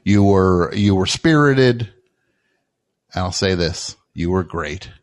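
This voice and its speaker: A man's voice: sits low, expressive, slow pace. A man hosting a comedic call-in radio show, teasing callers and repeating words when incredulous.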